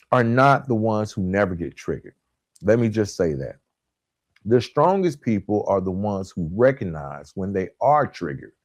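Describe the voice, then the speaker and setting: A man delivering a motivational self-help podcast talk as a coach, with emphatic, deliberate phrasing and frequent check-in tag questions. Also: on the low side, a little mellow, no echo, studio quality. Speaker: podcast host and relationship coach.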